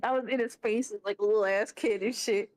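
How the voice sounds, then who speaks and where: A man's voice: high-pitched, fairly even intonation, fast talker, no room sound. A young man telling a rambling story in casual chat, full of fillers and asides.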